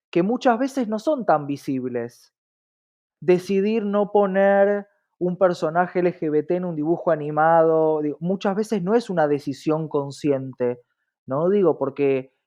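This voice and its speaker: A man's voice: fairly high pitch, warm tone. A man with an Argentine accent, an interview guest explaining things in an articulate, emphatic way with frequent rhetorical checks. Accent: Argentine Spanish. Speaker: interview guest, diversity advocate. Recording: dull, muffled lo-fi audio.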